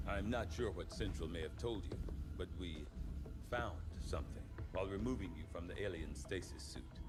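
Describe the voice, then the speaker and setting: An actor playing a proud, clinical chief scientist in a video game, speaking formally with precise, deliberate diction. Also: male voice, low voice. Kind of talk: scripted video game cutscene acting. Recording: dramatic music behind.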